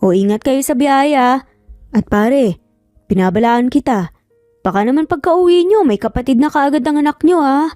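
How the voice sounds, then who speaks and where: An easygoing, mischievous young man in a narrated story, played by a woman. He talks playfully, always ready to joke. Soft music sits behind the dry voice. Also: sits high, lively intonation, normal speed, no room sound, voice pushed up. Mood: playful teasing. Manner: joking, playful. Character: mischievous, easygoing male cousin, young adult.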